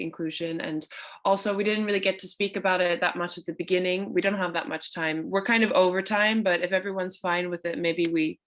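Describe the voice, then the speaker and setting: Low-voiced enthusiastic woman moderator. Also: fairly bright tone. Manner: enthusiastic, encouraging. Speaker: panel moderator. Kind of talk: panel discussion.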